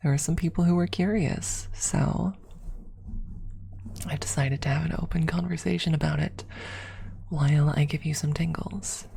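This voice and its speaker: A woman speaking softly and often in a whisper, telling a personal story as an ASMR streamer, close to the microphone. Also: deep voice, steady tone, moderate pace, vocal fry, pauses often, a little hiss or hum. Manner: hushed, often slipping into whisper. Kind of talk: soft-spoken ASMR storytelling.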